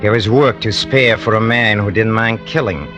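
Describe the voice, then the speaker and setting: An actor playing a bitter, cold-blooded hired killer who confesses in a brooding, halting way, in vintage radio drama style. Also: male voice, fairly low pitch, fairly even intonation, close to an everyday voice. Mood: cold pride. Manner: brooding, halting, repeats himself with menace. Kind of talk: 1940s-50s radio drama acting. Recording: old radio broadcast with music underneath.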